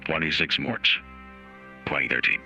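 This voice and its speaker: A man voicing a stern, formal judge in a measured dramatic reading, through a voice changer, with music behind. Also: very deep voice, sharp tone, voice pushed down, exaggerated voice. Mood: solemn. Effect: voice changer app. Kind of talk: dramatic reading of a court opinion. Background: music added by the app.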